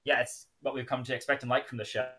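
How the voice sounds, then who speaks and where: A man's voice: mid-range voice, level tone, fast, bright, forceful tone. A man co-hosting a podcast, recapping and chatting casually with wry asides. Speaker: podcast co-host. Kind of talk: casual chat and episode recap.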